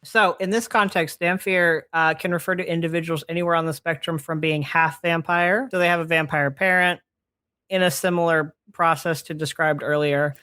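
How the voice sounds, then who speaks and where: A podcast host in their late twenties explaining fantasy lore in casual chat, halting and chuckly but enthusiastic. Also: medium pitch, steady tone, bright, forceful tone, clean tone, no room sound, studio quality. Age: late 20s.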